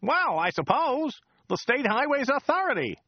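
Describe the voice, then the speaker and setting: A man voicing a chatty, excitable driver who rambles, repeats himself and laughs at his own jokes. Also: moderate pace, no room sound, lo-fi sound, full character voice. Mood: rambling chatter. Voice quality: high, loud and excitable. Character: chatty loud male American taxi driver. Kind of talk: audiobook character voice.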